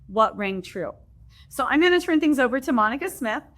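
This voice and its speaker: Female host, slightly high voice, formal prepared read. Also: big pitch swings, brisk, fairly bright tone. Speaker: library development director hosting. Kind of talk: reading prepared remarks.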